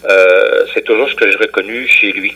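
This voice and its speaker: A priest talking in a halting radio interview clip, with many fillers. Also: male voice, fairly low pitch, fairly even intonation, vocal fry, bright, forceful tone, breathy edge, rough recording.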